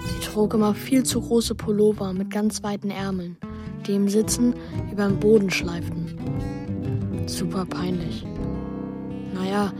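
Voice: medium pitch, unhurried, pauses often, music in the background, close to an everyday voice. A performer voicing an earnest, troubled young boy who tells his story in a children's radio play. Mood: confiding. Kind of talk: radio play narration and dialogue.

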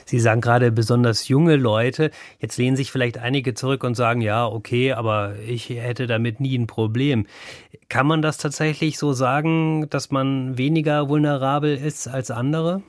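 A man's voice: mid-range voice, steady tone, normal speed, no echo, studio quality. A male radio host moderating a magazine show, conversational and thoughtful.

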